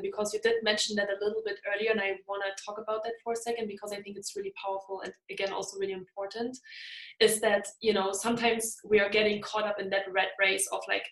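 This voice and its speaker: A woman's voice: medium pitch, fairly bright tone, reverberant, rough recording. A woman from Germany, hosting a casual interview and talking in a thoughtful, rambling way with many fillers. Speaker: podcast host.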